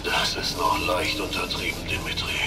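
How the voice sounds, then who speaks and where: A man's voice: deep voice, monotone, normal speed, natural voice. An actor playing a nervous, pedantic male ship's doctor in a German sci-fi horror audio drama. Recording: distant from mic, loud music behind.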